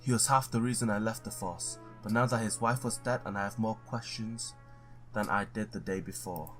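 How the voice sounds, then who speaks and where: Low-voiced man reading a game aloud. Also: fairly even intonation, moderate pace, a bit bright, soft background music. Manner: informal, stumbles over read words. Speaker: gamer reading a visual novel aloud. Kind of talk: let's play, reading story text aloud with casual asides.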